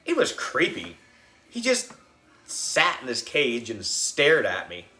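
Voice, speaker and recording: A man reading a children's book as a young boy narrator, expressive, dramatic and playful. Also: medium pitch, takes it slowly, echoey room, faint music behind. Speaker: audiobook reader.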